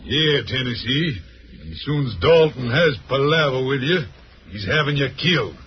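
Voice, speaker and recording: A man's voice: mid-range voice, lively intonation, a little slow, close to an everyday voice. An actor playing a gloating, rustic outlaw captor who taunts his prisoner in an old radio western. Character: mocking male outlaw captor, folksy and gloating. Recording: dull, noisy old radio recording.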